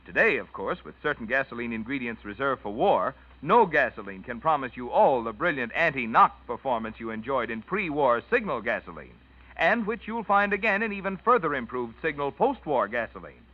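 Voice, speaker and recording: A man's voice: medium pitch, sing-song, fairly quick. A man announcing a 1940s radio commercial in a folksy, persuasive manner. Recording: dull, dry vintage radio recording.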